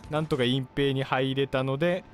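A man's voice: mid-range voice, steady tone, normal speed. A man commentating on his gameplay as a video host, explaining things in a polite manner. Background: game music, gunfire and artillery.

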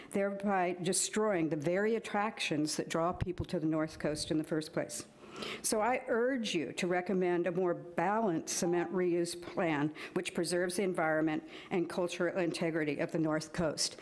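A woman in her sixties reads her public comment at a podium microphone in a council chamber. Her voice sits a little low for a woman, and she speaks in a steady, earnest way, reading from her notes. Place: county board meeting chamber, indoors.

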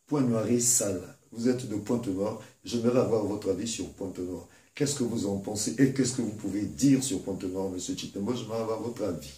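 A male host asks his guest questions in an emphatic, courteous manner. His voice sits low and sounds dark and mellow. The room gives it a noticeable echo. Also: a little fast, few pauses. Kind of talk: interviewing a guest. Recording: roomy echo.

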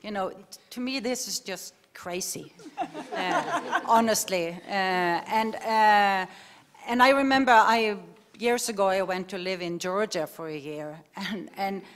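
A woman's voice: medium pitch, a little slow, fairly bright tone. Woman from Norway, hesitant, reflective, audience comment. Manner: hesitant, searching for words, reflective. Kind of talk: comment from the floor. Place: large lecture hall.

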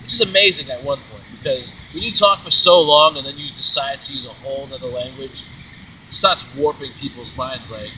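A man's voice: medium pitch, pushed and bright. A young man chatting casually in a park, loose and rambling with frequent swearing, filmed on a phone held by a friend. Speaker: vlogger. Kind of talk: casual chat. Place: outdoor city park, sitting on grass. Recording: distant phone mic with traffic noise.

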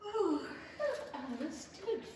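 A scolding older sister played by a woman with a high voice and an unhurried pace, in old radio drama style. She is distant and very echoey. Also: expressive, dark tone. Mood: scolding, dismissive. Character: Alice's older sister, dismissive, gently scolding young woman. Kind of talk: 1930s radio play acting. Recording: very echoey stage, distant, faint noise.

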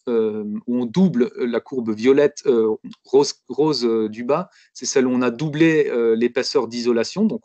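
A man's voice: medium pitch, dark tone, dry recording, lo-fi sound. Guy giving a webinar talk, lots of ums and restarts, dry close sound. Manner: hesitant, many fillers and restarts. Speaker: architect presenting a building project. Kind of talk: webinar presentation.

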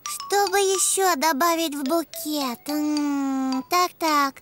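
An eager little boy donkey from a preschool cartoon. The voice is very high and childlike, taking its time over bright little exclamations. Music plays behind it. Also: slow pace. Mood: cheerful, curious. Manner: simple, exclamatory, childlike phrasing.

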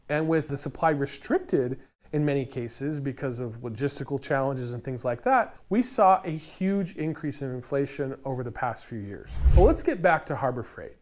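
A man in his thirties delivers a scripted explainer straight to camera, animated and emphatic, his voice sitting a little higher than most men's. He talks close to a lapel mic while standing in an indoor store aisle. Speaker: DIY channel host.

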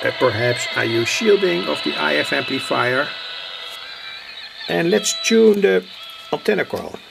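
Man, unhurried pace, strong vocal fry, halting short phrases, informal demo narration, radio audio in background. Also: fairly high pitch, lively intonation, music in the background. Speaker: hobbyist radio builder presenting. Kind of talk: unscripted hands-on demonstration narration. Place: indoor home workbench. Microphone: handheld camera's built-in microphone. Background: shortwave radio playing.

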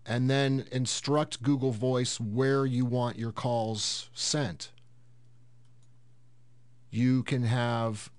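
A man hosting a casual software tutorial, explaining things step by step in an unhurried way. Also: medium pitch. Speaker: host of a tech tutorial series.

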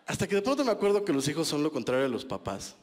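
A man's voice: fairly high pitch, wildly swooping pitch, rapid-fire, a little mellow, big-room sound. A Mexican man in his thirties doing a stand-up comedy set on a small club stage, speaking into a handheld mic in a conversational, self-mocking way with crude slang. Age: 30s.

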